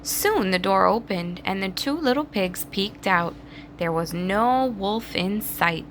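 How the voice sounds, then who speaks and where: Woman storyteller, children's read-aloud, dramatized, slow, highly varied pitch, faint background noise. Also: lively intonation, poor audio. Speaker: storybook reader.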